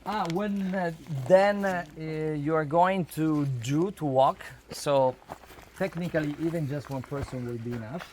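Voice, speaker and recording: A man with a fairly high voice addresses a group informally as a non-native English speaker. He explains haltingly, with emphatic phrasing. The recording is outdoors, with some background noise. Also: darkish tone. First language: not English. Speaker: spiritual workshop leader. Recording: noisy outdoor recording, natural ambience.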